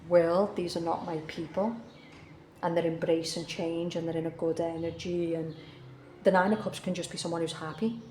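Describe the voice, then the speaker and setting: A woman's voice: on the low side, warm tone, echoey room, slight background noise. A woman giving a casual tarot reading who is coming down with the flu and talks earnestly, repeating herself for emphasis. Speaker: tarot reader.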